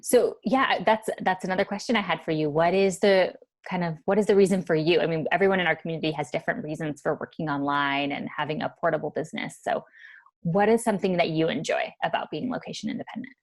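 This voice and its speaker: A woman's voice: deep voice, fast. A woman hosting a casual interview, asking chatty, encouraging questions. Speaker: podcast host, co-founder.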